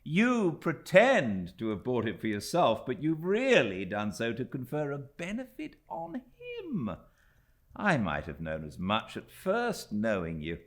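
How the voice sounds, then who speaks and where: Man playing an earnest young British gentleman, lively pitch. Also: full character voice. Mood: exultant, admiring. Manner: sincere, eager. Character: earnest, admiring young gentleman, warm-hearted and innocent. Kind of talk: dramatised classic audiobook dialogue.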